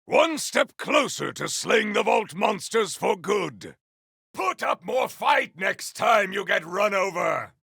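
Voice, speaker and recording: Battle-hungry video game warrior: forceful, gravelly, theatrical and declamatory, in a very dry recording. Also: male voice, sits high, sing-song, slow, no room sound, exaggerated voice. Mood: battle fury. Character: proud, battle-hungry warrior with grandiose, formal speech. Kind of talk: video game combat barks.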